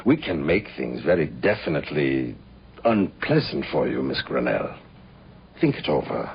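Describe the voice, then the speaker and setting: An actor playing a suave, menacing club agent who makes smooth, deliberate threats in a radio drama. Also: male voice, a bass voice, sing-song, heavily acted. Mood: coldly threatening. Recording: dull lo-fi radio recording, faint noise.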